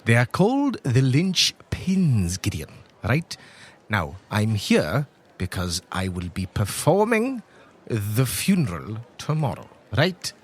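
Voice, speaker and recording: Guy doing a tipsy old priest for a tabletop game, husky, rambling, pitch all over the place. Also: wildly swooping pitch, takes it slowly, exaggerated voice. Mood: tipsy, earnest. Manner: rambling, avuncular, punctuating with "eh" and "right".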